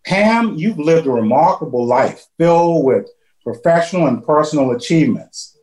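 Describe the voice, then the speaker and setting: Man, co-host, formal intro, slightly high voice, roomy echo. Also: animated, moderate pace, darkish tone. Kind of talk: reading a guest introduction.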